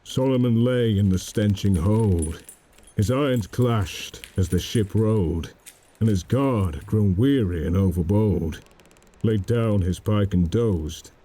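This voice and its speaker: A man narrating a dramatic poem in a solemn, measured manner. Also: lowish voice, warm tone, husky. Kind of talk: dramatic poetry recitation.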